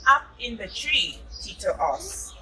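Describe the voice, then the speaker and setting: A woman's voice: mid-range voice, very animated, slow, pushed and bright, a little hiss or hum. A woman reading a picture book aloud to children, patient and encouraging, adding chatty asides and echoing what they say. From South Africa. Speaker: storybook reader.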